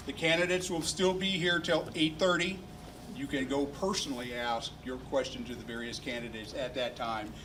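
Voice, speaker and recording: A man's voice: fairly high pitch, fairly bright tone. A man making announcements at a neighborhood forum, talking plainly and steadily with some fillers, in a community meeting room. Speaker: neighborhood liaison introducing a candidate forum. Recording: distant room recording, faint noise.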